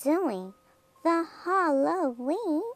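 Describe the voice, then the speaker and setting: A woman reading a rhyming children's picture book aloud in a dramatic, theatrical way, drawing out words and dropping into hushed phrases. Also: high voice, big pitch swings, very slow. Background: music.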